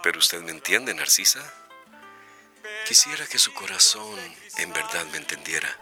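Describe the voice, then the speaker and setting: An actor playing an earnest, tender politician, pleading and heartfelt in a melodramatic radio soap. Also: male voice, low voice, huge pitch swings, normal speed, music in the background, heavily acted. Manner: heartfelt, emphatic.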